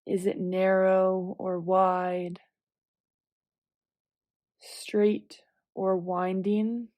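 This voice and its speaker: A woman leading a guided visualization meditation, giving calm, gentle, measured instructions with long pauses between phrases. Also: mid-range voice, no echo.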